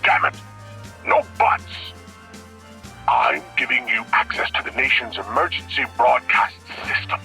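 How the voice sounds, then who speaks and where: An actor playing a pompous, bossy president on a telephone call in an audio drama, gravelly and forceful, sometimes fumbling his words. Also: mid-range voice, sing-song, a little slow, soft background music, heavily acted. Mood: irritated, blustering.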